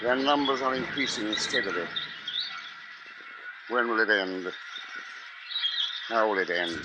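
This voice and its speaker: An actor playing a grave, anxious family man, narrating solemnly in a measured 1950s radio drama style. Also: fairly low pitch, heavy background noise, close to an everyday voice. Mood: weary dread. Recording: 1950s radio recording with music behind.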